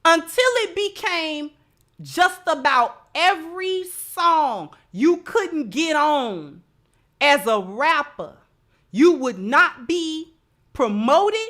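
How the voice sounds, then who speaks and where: A woman's voice: sits high, lively intonation, slow pace, a bit bright. An American woman host giving opinionated commentary in informal, colloquial speech, emphatic and repeating phrases to drive a point home. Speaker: livestream host.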